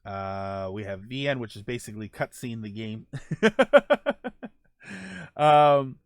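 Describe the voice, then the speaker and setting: A man's voice: lowish voice, slow pace, clear, resonant tone, no echo, clean studio sound. A man hosting a casual live stream, thinking aloud while reading viewer comments, often restarting his sentences. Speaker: streamer host reading chat.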